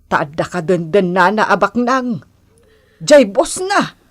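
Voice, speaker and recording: Suspicious mother, middle-aged, slow pace, wide-swinging pitch, admonishing, Filipino accent, radio drama. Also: big pitch swings. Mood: reproachful. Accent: Filipino, Ilocano. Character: stern, suspicious mother admonishing her grown son. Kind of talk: Ilocano radio drama acting.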